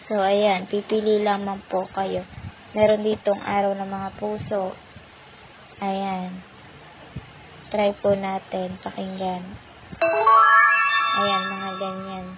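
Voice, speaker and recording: A woman's voice: mid-range voice, completely flat delivery, takes it slowly, long pauses, highs cut off, soft background music. A woman hosting an app tutorial, politely and patiently walking viewers through each step. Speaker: tutorial channel host.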